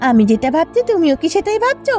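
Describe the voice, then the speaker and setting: A very high, soft-toned village wife from a cartoon. She is bossy yet hopeful and coaxes with emphasis, with music playing underneath. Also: very animated, fast talker. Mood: thoughtful. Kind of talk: Bengali cartoon dubbing.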